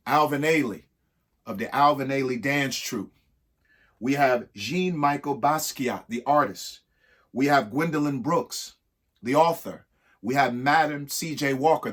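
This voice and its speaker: A husky-voiced man reading a rhyming picture book aloud expressively, emphatic, with pauses between short phrases. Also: mid-range voice, a bit bright. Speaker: reader of a children's picture book. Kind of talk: expressive read-aloud of a rhyming alphabet book with spoken asides.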